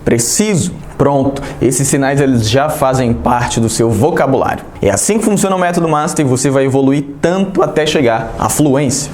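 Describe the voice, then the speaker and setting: A man's voice: a little high, a little mellow, reverberant. A man teaching an online sign language lesson, talking straight to the viewer in an encouraging, direct way. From Brazil.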